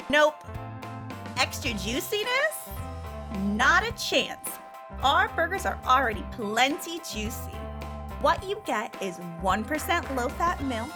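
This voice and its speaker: High, gushing commercial announcer. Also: female voice, full character voice. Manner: gushing, exaggerated salesy cheer. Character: chirpy female fast-food commercial announcer. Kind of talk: peppy advertising voice-over. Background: music bed.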